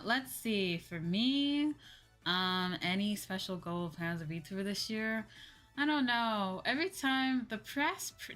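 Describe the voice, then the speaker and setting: A woman's voice: medium pitch, bright, forceful tone. A woman hosting a livestream, chatting casually in a hesitant way and often repeating her phrases. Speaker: livestream host. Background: background music.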